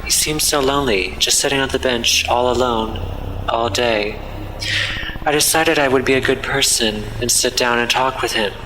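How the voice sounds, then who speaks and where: A man's voice: mid-range voice, normal speed, sharp tone, slight background noise, rough recording. A man reading a scripted first-person horror story as its narrator.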